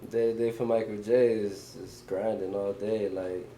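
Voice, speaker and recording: Laid-back young man, low mellow voice. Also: steady tone, normal speed, a little hiss or hum. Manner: laid-back slangy talk, lots of "you know what I'm saying". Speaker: guest music artist. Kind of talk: casual interview chat.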